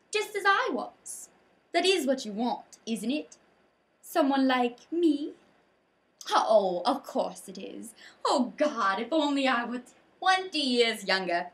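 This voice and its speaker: A woman's voice: high voice, a bit bright, full character voice. Woman playing imperious, doting, melodramatic Queen Aggravain. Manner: imperious, fussy, theatrical, emphatic. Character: overbearing, doting, melodramatic middle-aged queen mother. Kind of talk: comedic stage monologue.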